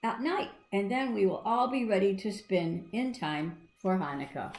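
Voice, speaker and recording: A woman's voice: mid-range voice, normal speed, a little mellow, slight background noise, natural voice. Lady doing a hopeful boy spinning top, cheering on a friend, storybook, roomy. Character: encouraging, hopeful male toy dreidel friend. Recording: echoey room.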